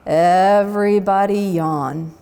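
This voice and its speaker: A woman voicing a sleepy male sloth schoolteacher in a storybook, with a slow drawl, in a reverberant space. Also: mid-range voice, vocal fry, mellow, clean tone. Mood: drowsy, lazy.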